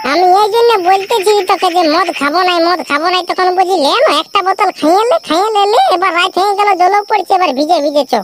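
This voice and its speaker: An actor playing an excitable, grumbling village man in a comic cartoon, squabbling in an earthy rural Purulia Bengali accent. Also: very high-pitched, fast, hardly any pauses. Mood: nagging, worried.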